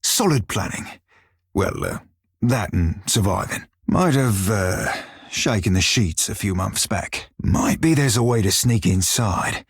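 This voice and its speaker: A roguish pirate crewmate from a video game, with a husky, lowish voice. He talks in salty seafaring dialect, wry and crafty but loyal to his captain. The sound is close and dry. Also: expressive, measured pace, dry recording, full character voice. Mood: wry, matter-of-fact. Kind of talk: scripted video game dialogue.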